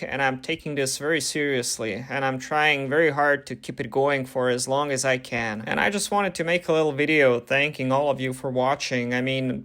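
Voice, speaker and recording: A young man whose first language is Croatian, hosting a casual question-and-answer video and chatting in a relaxed way full of fillers. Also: mid-range voice. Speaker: YouTube channel host. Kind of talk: casual talk to camera, Q&A.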